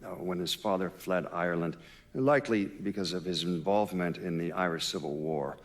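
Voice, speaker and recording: An actor playing a man giving a measured, hesitant documentary-style account in a video game. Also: sits low.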